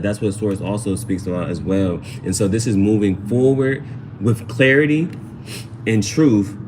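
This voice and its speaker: A man's voice: mid-range voice, darkish tone, low-quality recording. Man giving a tarot reading over noticeable noise. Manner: repeats phrases, slangy. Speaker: tarot reader, livestream host. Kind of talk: casual live tarot reading, talking to chat.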